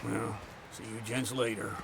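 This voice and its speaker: A man's voice: fairly low pitch, flat delivery, unhurried, creaky, background noise. A man playing a theatrical, shameless camp loafer in a western video game, teasing and ingratiating. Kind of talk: video game dramatic dialogue.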